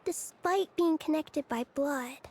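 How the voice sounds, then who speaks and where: A woman's voice: high voice, takes it slowly, darkish tone, slight background noise. A woman voicing a curious, naive little child in a video game class. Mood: curious, thoughtful.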